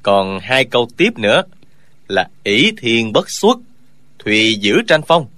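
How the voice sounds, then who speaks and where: A man's voice: mid-range voice, very animated, takes it slowly, a bit bright, no echo. An actor voicing an upright young swordsman who speaks earnestly and lectures the old man in an audiobook. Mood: lecturing. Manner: earnest, lecturing, sometimes mocking. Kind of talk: audiobook character dialogue.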